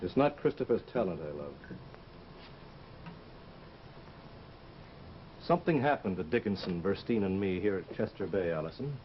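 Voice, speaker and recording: An actor playing a brooding, intense sculptor in love, in old-time radio drama style, on a muffled vintage recording. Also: male voice, low-pitched, animated, fast talker, a little hiss or hum. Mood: earnest, intense.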